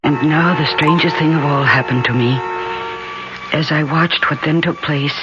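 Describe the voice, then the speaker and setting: An actress playing an earnest young woman in an old-time radio horror drama, speaking in a deliberate, dramatic, emotionally heightened way. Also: sits low, very noisy, natural voice. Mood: haunted, entranced. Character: earnest, alarmed young woman narrating her gothic horror. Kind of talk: old-time radio drama dialogue and first-person narration. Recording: muffled old radio recording with loud music.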